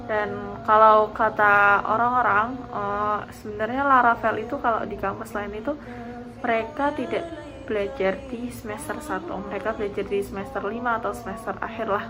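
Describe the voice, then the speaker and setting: A young woman student from Semarang explaining her coursework in a testimonial, pausing on small fillers. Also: medium pitch, steady tone, music in the background, rough recording. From Semarang, Indonesia. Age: young adult. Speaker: first-semester student. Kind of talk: testimonial interview.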